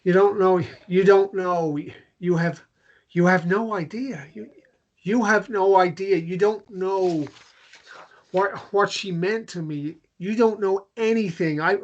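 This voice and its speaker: A man's voice: high voice, takes it slowly. Muffled-sounding table read: male actor as grieving widower, bitter, volatile, stumbling over repeated phrases. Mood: stunned grief. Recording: dull lo-fi sound.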